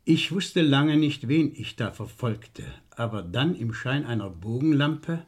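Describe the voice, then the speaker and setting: Needling old-school radio police inspector, deliberate, falsely casual, animated pitch swings. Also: male voice, husky. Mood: measured, grave. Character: shrewd, dryly needling male police inspector. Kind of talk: vintage German radio crime play acting.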